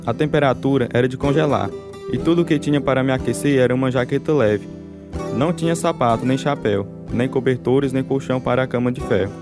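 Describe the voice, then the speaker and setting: A persecuted, devout husband in prison , played by a man, recounts his ordeal in a Brazilian accent for a radio drama. His voice sits at a middling pitch over clearly heard guitar music. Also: quick pace, close to an everyday voice. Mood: grave, suffering. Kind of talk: dramatized radio testimony narration.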